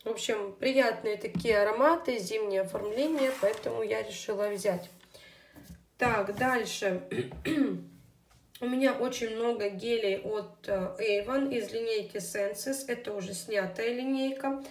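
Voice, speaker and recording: A young woman who has a child, chatting casually through her beauty products, her voice a little off. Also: on the high side. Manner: chatty, unhurried, with fillers. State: voice somewhat unwell. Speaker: beauty vlogger. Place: indoors at a table, hands on camera. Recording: echoey room.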